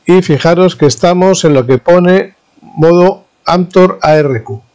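A man with an accent from Spain gives an informal technical tutorial as an instructor, explaining haltingly and checking in with his listeners. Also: high-pitched, a little mellow. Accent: Spanish (Spain). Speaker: university professor, instructor.

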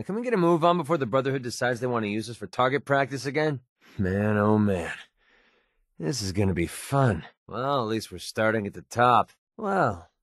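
An actor playing a cocky, sarcastic young mercenary companion in a video game, with an American accent and a wry, grumbling, slangy delivery. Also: male voice, mid-range voice, no echo, clean studio sound. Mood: wry, complaining. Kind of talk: video game character dialogue.

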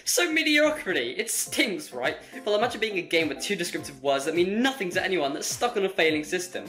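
A man's voice: high-pitched, very animated, fairly quick, pushed and bright, faint music behind. A young man giving a scripted comedic game review in a sarcastic, mocking way.

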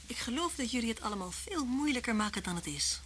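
An actress playing a thoughtful, earnest detective's wife in a vintage radio drama. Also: female voice, a little high, big pitch swings, quick pace, a bit bright. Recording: slightly noisy old radio recording.

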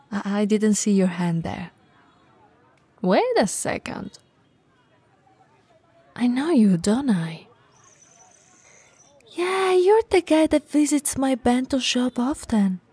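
Breathy, unhurried tall friendly woman, acted out. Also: fairly high pitch, a little mellow, no room sound, full character voice. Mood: friendly, cheerful. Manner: casual, playful, hesitant and chatty. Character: tall, friendly, teasing woman bento shop owner. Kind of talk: intimate ASMR roleplay acting.

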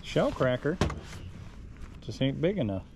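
A man's voice: mid-range voice, big pitch swings, unhurried. A man fishing from a small boat on a lake, making dry laid-back remarks about his catches. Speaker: channel host, angler. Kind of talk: casual chat while fishing. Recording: outdoor wind and water noise.

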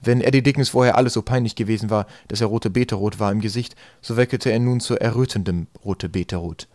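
A man's voice: fairly low pitch, brisk, a little mellow, no room sound. A man narrating a storybook as a scripted audiobook reading. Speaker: audiobook reader.

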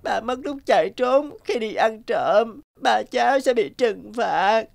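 An earnest, anxious small boy in a cartoon. The voice is fairly high and pleading, and music plays underneath. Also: expressive, unhurried, creaky. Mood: sad. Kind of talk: cartoon voice acting. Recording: music bed behind the voice.

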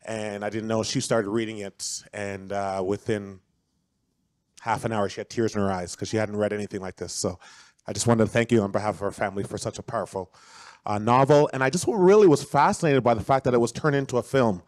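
A man's voice: on the low side, fairly quick, darkish tone, dry recording. Earnest man asking from the audience. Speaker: audience member asking a question. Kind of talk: audience question at a public talk. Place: large auditorium. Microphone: handheld audience mic.

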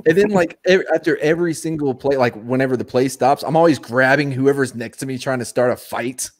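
A man in a casual video-call panel chat, animated and self-mocking, on a headset mic. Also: medium pitch, brisk. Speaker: guest.

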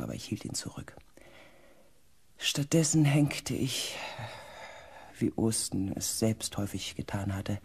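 Slow, earnest space researcher. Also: male voice, medium pitch, vocal fry, darkish tone, husky, full character voice. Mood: calm narration. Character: earnest, compassionate male space-expedition researcher recounting events. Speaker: narrator and character in a radio play. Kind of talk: German sci-fi radio drama acting.

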